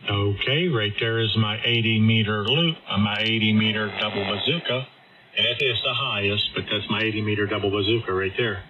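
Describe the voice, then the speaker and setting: A man chatting on the air as a ham radio operator, joking and showing off his antennas. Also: on the low side. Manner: quick, joking, demonstrating gear. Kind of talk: casual on-air chat. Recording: muffled radio signal with light hiss.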